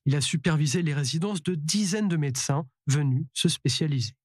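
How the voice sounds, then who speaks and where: A man narrating a television news report in a measured, scripted way. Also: on the high side, a little mellow, breathy edge, no echo, studio quality.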